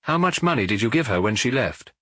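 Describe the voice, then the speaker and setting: A man's voice: mid-range voice, big pitch swings, brisk, bright, forceful tone, no room sound. A man voicing a French police inspector who questions a suspect firmly and insistently, yet with some sympathy, in an audiobook detective story. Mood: probing.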